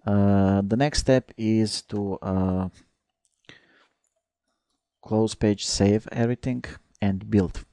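Gravelly low-voiced man from Croatia. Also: fairly even intonation, slow pace, pauses often, warm tone, dry recording. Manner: hesitant, many "uh" fillers, step-by-step narration. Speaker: software tutorial presenter. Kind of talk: screencast tutorial.

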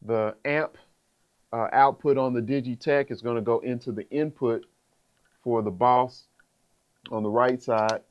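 A man's voice: mid-range voice, fairly even intonation, unhurried, pauses often, clean tone. A man in his thirties with an American accent, explaining gear step by step in a casual, informal tutorial. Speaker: music instructor. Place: small music studio, indoors. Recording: dull sound with humming background noise. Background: electrical hum, fan noise.

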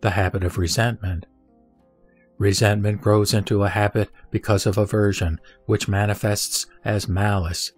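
A man reading scripture as a solemn scripted narration, in measured, deliberate phrases with pauses. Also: fairly low pitch, flat delivery. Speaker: sutra reciter. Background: background music.

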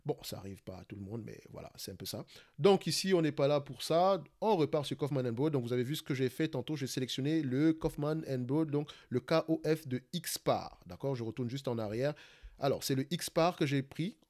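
A man with a Cameroonian accent talks fast through a live screen-recorded stock analysis. He often searches for words and restarts his sentences. He keeps checking in with his listeners as he goes. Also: medium pitch, expressive, a little mellow. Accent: Cameroonian French. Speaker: investing channel host.

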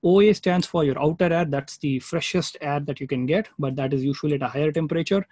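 A man's voice: fairly high pitch, fast talker, a little mellow, no room sound. A man teaching an informal online technical lecture, explaining step by step and often checking in with the listener. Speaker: webinar instructor.

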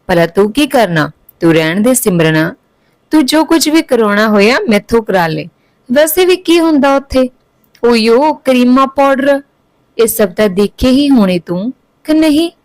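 A woman's voice: on the high side, expressive, moderate pace, darkish tone, no echo, lightly acted, poor audio. Mocking, vain elder sister, as a woman narrator plays her. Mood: mocking, dismissive. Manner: mocking, curt, questioning.

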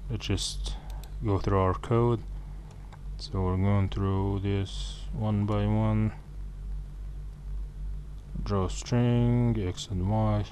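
A man's voice: lowish voice, fairly even intonation, mellow, slight background noise. A man whose first language is Arabic, walking through code in a screen-recorded programming tutorial, with frequent fillers and restarts. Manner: halting, many fillers and self-corrections.

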